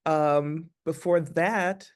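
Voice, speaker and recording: A man's voice: fairly high pitch, steady tone, very slow. A man, an actor, talking casually as the guest in an interview.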